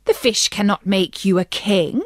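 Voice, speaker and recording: A woman's voice: medium pitch, a little slow, no room sound, studio quality, close to an everyday voice. A woman voicing a meek, reluctant fisherman in a fairy tale, with a doleful, pleading manner. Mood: worried.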